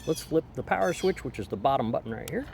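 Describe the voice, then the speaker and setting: A man talks through a product hands-on in a casual, explanatory style. His pitch sits in a middle range for a man. He is recorded outside on a deck, with light ambient noise behind him. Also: animated, quick pace, vocal fry. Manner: informal, explanatory. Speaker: product reviewer. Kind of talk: casual hands-on gadget review. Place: outdoors on a back deck. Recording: outdoor background noise.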